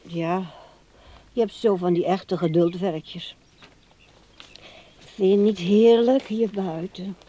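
A woman's voice: mid-range voice, steady tone, slow pace, long pauses, mellow. An actress in a radio play as a homely, fussing woman who chats and placates as she looks after her household. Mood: placating.

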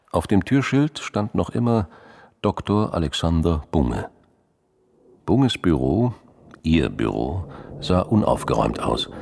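A man narrating a radio crime drama in measured short phrases, with noise behind his voice. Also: extremely low pitch, gravelly, darkish tone, full character voice. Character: male narrator of a political crime story.

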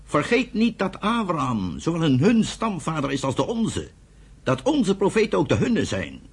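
Principled peacemaker, measured, deliberate, husky, expressive intonation, radio drama. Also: male voice, on the high side, full character voice. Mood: earnest. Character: thoughtful, principled older brother, peace-minded land buyer. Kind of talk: Dutch radio play acting.

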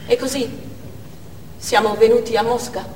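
Actress as earnest young militant, echoey hall. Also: female voice, on the high side, steady tone, normal speed, darkish tone, natural voice. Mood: grave, resolute. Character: young Chechen woman militant, earnest, naively awed. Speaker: stage actor. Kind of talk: theatrical monologue. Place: indoor theatre stage, recorded from afar. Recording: distant, echoey hall with faint noise.

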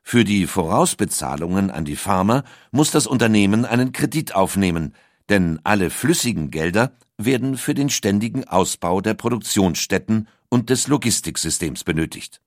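A man reading a scripted documentary audiobook in a measured, articulate storytelling manner. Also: on the low side, animated, dry recording, clean studio sound. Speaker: narrator.

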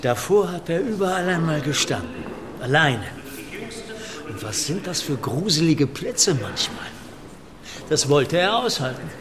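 An eager, chatty art curator in a radio drama. His voice is fairly high and exclamatory, often questioning. A gallery crowd murmurs clearly behind him. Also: sing-song, unhurried. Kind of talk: conversational radio drama acting.

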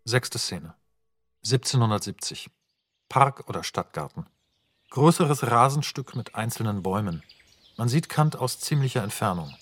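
A man's voice: mid-range voice, animated, moderate pace, fairly bright tone, slightly rough, dry recording. A man narrating stage directions in a radio play, plain and matter-of-fact. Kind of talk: scripted narration.

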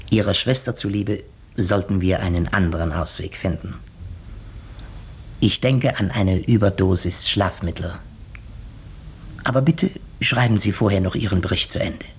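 A shrewd detective in a 1950s radio play. The voice is husky and highly animated in pitch. He builds his accusations courteously and deliberately, with theatrical pauses. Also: exaggerated voice. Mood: stern, composed. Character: shrewd, courteous male detective unmasking a murderer. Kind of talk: 1950s German radio drama acting.

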